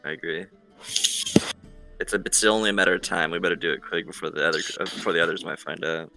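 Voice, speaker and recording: Male tabletop player, deep voice with heavy fry and a hard bright edge, casual delivery. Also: quick pace, faint music behind, poor audio. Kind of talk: casual in-game discussion.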